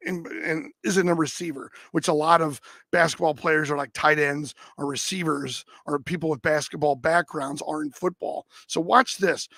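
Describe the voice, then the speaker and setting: High-pitched man with a dry rasp. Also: a bit bright, no room sound. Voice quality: dry, raspy voice. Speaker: livestream host. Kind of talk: casual livestream chat and clip commentary.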